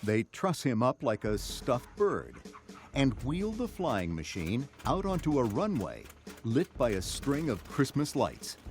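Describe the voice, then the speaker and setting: A male narrator tells a children's story with dramatic, measured delivery. He speaks slowly with a very expressive range of pitch, and music plays clearly behind him. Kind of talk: scripted children's read-along story narration.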